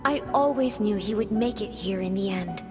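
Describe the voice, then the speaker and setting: Woman acting a gentle spirit goddess in long reverb. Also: mid-range voice, moderate pace, natural voice. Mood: serene, warm. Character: gentle spirit of a late female archon, wistful. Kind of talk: video game dialogue acting. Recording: long hall reverb, music behind.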